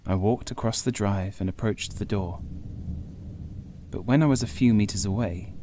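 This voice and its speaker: A male audiobook narrator with a fairly low voice reads first-person horror. His delivery is measured and literary. Soft storm ambience sits behind him. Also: a little hiss or hum.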